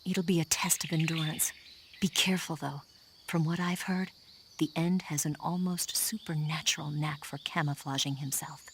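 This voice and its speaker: Female spy on radio support in a game; low-pitched, soft and steady. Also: normal speed, noisy background.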